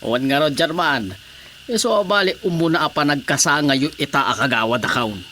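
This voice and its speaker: A flattering, teasing councilman with an Ilocano Filipino accent. The voice is forceful and bright, bouncing up and down in a radio comedy, over faint background noise. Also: fairly high pitch, fairly quick. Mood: curious, amused. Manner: obsequious, teasing. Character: fawning, chuckling village councilman flattering his chairman.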